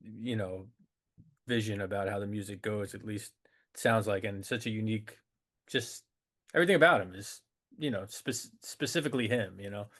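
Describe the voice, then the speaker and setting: A man with a fairly low voice hosts an interview in a casual, conversational way. He muses aloud in a friendly manner before he asks his questions. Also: normal speed, a bit bright. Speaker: interview host.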